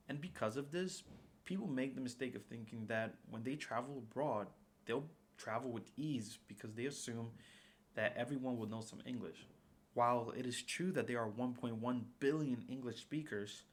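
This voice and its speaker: A young man, a native Spanish speaker, delivering a prepared persuasive speech for a class in a formal, read-aloud manner. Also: mid-range voice. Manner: formal, reading prepared remarks.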